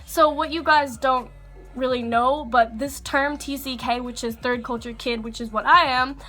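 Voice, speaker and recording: A young woman talks to her camera in a bright, high voice, relaxed and full of likes and fillers. Her accent is international English, mostly American with a slight Australian tinge. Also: normal speed. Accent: third culture kid English, mostly American with some Australian. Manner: casual and chatty, with fillers and likes. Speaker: vlogger. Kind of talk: sit-down chat to camera.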